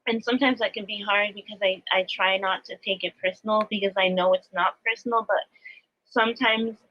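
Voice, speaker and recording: A woman's voice: mid-range voice, steady tone, fairly quick, muffled recording. A woman speaking as a spiritual teacher, explaining earnestly and reflectively in new-age language during a live coaching talk. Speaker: spiritual teacher, astrology adviser.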